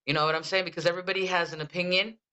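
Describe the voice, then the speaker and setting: A man born in Ensenada and raised in San Diego, co-hosting a podcast in hyped street slang full of shout-outs. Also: sits high, fairly even intonation, very fast, clean tone. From Ensenada, Mexico; raised in San Diego. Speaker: podcast co-host. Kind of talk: casual chat. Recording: dull lo-fi sound.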